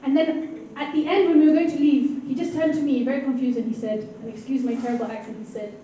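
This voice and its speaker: A woman's voice: sits high, steady tone, fast talker, dark tone, distant mic, highs cut off, slight background noise. A woman from Kenya doing a stand-up comedy set, playfully teasing her audience. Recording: echoey hall heard from afar with crowd. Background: audience laughter and crowd murmur.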